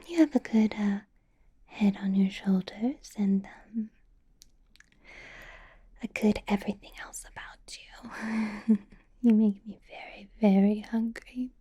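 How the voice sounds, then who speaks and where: A woman's voice: mid-range voice, fairly even intonation, takes it slowly, long pauses. Woman playing a whispering, possessive vampire boss. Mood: flirtatious, doting. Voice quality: breathy, soft whisper. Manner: coaxing, condescending, controlling sweet talk. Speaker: ASMR roleplay performer. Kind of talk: ASMR roleplay, close whispered personal attention.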